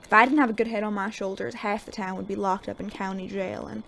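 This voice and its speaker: A woman's voice: mid-range voice, fairly even intonation, quick pace, gravelly, a bit bright. A woman playing a weary, sardonic veteran male sheriff in a Western audio drama, with an American accent and a dry folksy drawl. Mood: earnest, firm.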